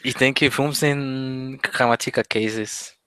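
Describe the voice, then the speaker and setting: Teen guy, slow hesitant talk, bright super clear voice. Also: mid-range voice, steady tone, no echo. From Mexico. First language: Spanish. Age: teens. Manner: hesitant, many pauses and fillers. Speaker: interview guest, language learner. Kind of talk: casual interview chat.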